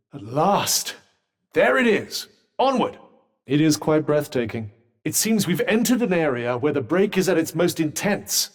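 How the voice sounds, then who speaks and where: Guy doing a snarky cultured talking bracelet in a game, kinda breathy, swings his pitch around, very dry and proper. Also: close to an everyday voice. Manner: dry, formal, wry phrasing. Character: sardonic talking magic bracelet, male, cultured. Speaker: voice actor. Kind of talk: video game voice lines.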